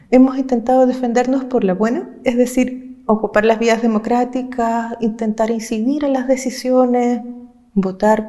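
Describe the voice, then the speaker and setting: A woman from Chile, an activist speaking earnestly and with passion, laying out her points in measured, listed phrases. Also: a little high, dark tone. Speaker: social movement activist. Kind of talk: reflective interview talk.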